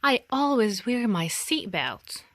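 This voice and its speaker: A woman's voice: a little high, sing-song, slow pace, dry recording, heavily acted. A woman voicing an earnest, rule-minded male state trooper in a children's storybook, firm and instructive.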